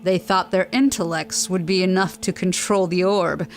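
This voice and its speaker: An actress playing a grave, defiant survivor of a lost civilization in an audio drama, giving solemn, forceful warnings. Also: female voice, on the low side, steady tone, normal speed, slight background noise, close to an everyday voice. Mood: grave, bitter. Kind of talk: scripted audio drama acting.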